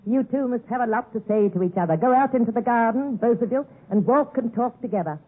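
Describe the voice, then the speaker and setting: A woman playing an imperious, bitter old reclusive heiress with a husky voice in 1950s radio drama style, on a tinny old broadcast recording. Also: fairly high pitch, fast talker, natural voice.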